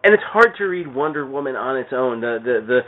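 A man in casual conversation, heard through a narrow, phone-like band. His voice sits at a middling pitch. He talks haltingly, often doubling words and starting sentences over. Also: animated. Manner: halting, repeats words and restarts mid-sentence. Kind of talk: casual chat about comic books. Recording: thin, band-limited phone-like radio sound.

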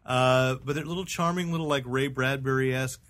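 Middle aged american guy chatting about comics on a podcast, stops and restarts a lot, medium pitch. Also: fairly even intonation, fairly quick, fairly bright tone. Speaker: podcast host. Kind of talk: casual comics review chat.

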